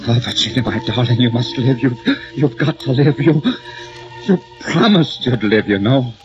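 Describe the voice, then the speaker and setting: An actor playing a tormented, despairing poet and devoted husband in old radio drama, anguished and theatrical. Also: male voice, mid-range voice, moderate pace, highs cut off, natural voice. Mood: anguished, pleading. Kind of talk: 1940s-50s radio drama acting. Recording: old radio recording, music behind.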